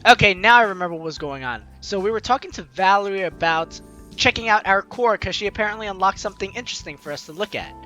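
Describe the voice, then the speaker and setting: A young man with a high, bright, forward voice plays through a game on his show. He reads the text aloud and drops in chatty, joking asides, with faint music underneath. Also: lively intonation, fast talker. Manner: informal, jokey, chatty asides. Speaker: host of a gaming let's play series. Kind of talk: let's play commentary, reading game text aloud.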